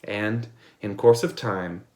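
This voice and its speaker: A man's voice: lowish voice, steady tone, slow, a little mellow, echoey room. A man reading fairy tales aloud in a playful storytelling manner. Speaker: storybook reader.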